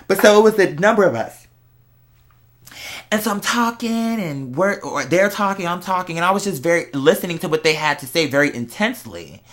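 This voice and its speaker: A vlog host chatting casually and candidly to viewers, telling stories in an animated, slangy way. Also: medium pitch, fairly quick. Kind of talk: casual confessional chat to viewers.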